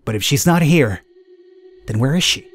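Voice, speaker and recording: A man hosting a gaming video, reading a horror visual novel aloud as expressive, dramatic first-person narration. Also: mid-range voice, normal speed. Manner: expressive, acts out the heroine's thoughts. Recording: clear background noise, game ambience.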